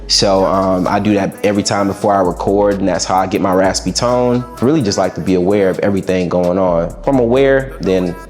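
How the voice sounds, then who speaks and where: A young man with a raspy, lowish voice talks through interview answers in an easygoing, reflective way with casual slang. Soft music plays faintly behind him. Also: brisk, hardly any pauses. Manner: laid-back, reflective, slangy. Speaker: musician guest.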